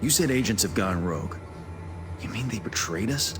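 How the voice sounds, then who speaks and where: A man playing a terse, steady, understated agent in a game cutscene. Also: lowish voice, normal speed, music in the background, natural voice. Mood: questioning. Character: terse, steady male government agent back from injury. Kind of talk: scripted game cutscene dialogue.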